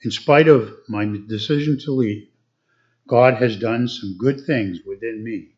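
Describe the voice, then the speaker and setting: A man reading a formal letter aloud to a church congregation in a steady way. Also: mid-range voice, a little slow, highs cut off.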